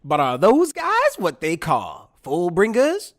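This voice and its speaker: A woman's voice: on the low side, huge pitch swings, slow, heavily acted. A woman playing a curious, observant onlooker in an anime fan dub.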